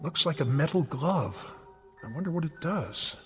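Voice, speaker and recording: A man's voice: on the high side, expressive, moderate pace, in a large hall, soft background music, close to an everyday voice. An actor playing an inquisitive man sorting through a dead man's estate, musing aloud as he looks around. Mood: curious. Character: curious male investigator of a dead man's estate. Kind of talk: radio drama acting.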